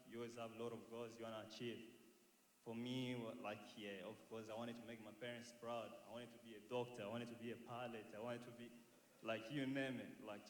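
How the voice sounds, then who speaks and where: A young man gives an informal talk to an audience, nervous and hesitant, often repeating words. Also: medium pitch. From Congo, raised in Tanzania and later South Australia. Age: young adult. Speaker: guest speaker at a church youth expo. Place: large echoing indoor hall. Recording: echoey hall, some background noise.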